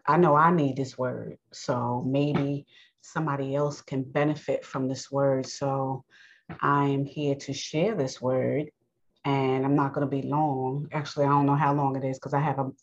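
A woman with a New York accent, host of her own podcast, giving an earnest, unhurried spoken sermon with many pauses. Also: very deep voice, level tone, highs cut off.

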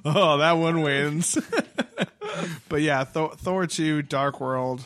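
A man's voice: a little high, fairly even intonation, takes it slowly, a bit bright, no echo. A young man hosting a podcast in casual chat, joking and laughing often. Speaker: podcast host.

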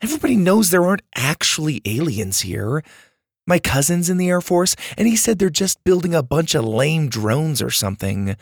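A man's voice: a little high, very animated, normal speed, dry recording, clean studio sound, pitched above the performer's own voice. A man voicing a level-headed, dismissive girl in a children's story, speaking matter-of-factly. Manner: dull, matter-of-fact.

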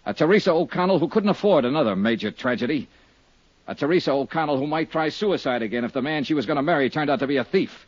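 A dull, dry vintage radio recording carries a dogged American insurance investigator from a 1950s detective serial. He is hard-boiled and clipped, with a husky voice that swings in pitch as he presses his accusations. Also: expressive, fast talker, dry recording. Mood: pressing, stern.

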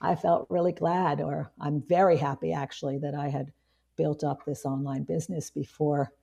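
A woman in her fifties with a hoarse voice, a guest chatting casually in an interview, rambling with many restarts. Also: low-pitched, level tone, moderate pace, mellow. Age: 50s. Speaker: guest, online marketing strategist.

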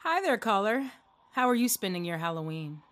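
An actress playing a late-night radio host, friendly with callers and exasperated by interruptions. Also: female voice, mid-range voice, big pitch swings, moderate pace, fairly bright tone. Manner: exasperated, scolding. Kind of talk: audio drama acting.

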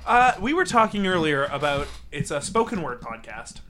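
A man hosting a comedy podcast, playful and quick to laugh. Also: fairly high pitch, big pitch swings, noisy background. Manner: playful, giggly interviewer. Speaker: podcast host.

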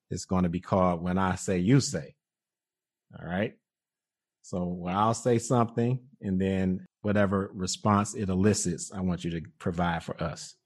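A man's voice: low-pitched, a little mellow. A man hosting a podcast, friendly and upbeat as he interviews former students. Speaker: podcast host. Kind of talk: casual interview.

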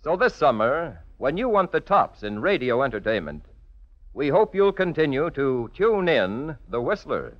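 A man's voice: mid-range voice, sing-song, unhurried. A man delivering a polished, promotional scripted announcement in the style of a 1940s radio sponsor spot. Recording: dull vintage radio recording.

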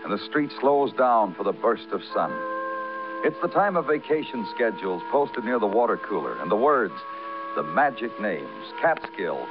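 An American actor playing a world-weary police detective who narrates his case in poetic, clipped, dramatic 1950s radio noir style. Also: lowish voice. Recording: muffled vintage radio broadcast, music behind.

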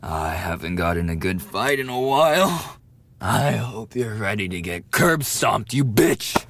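A man's voice: fairly low pitch, very animated, unhurried, a bit bright, no room sound, exaggerated voice. A man playing a cruel, mocking teenage delinquent bully in a dramatic roleplay audio, with a taunting, sneering, menacing delivery. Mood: threatening.

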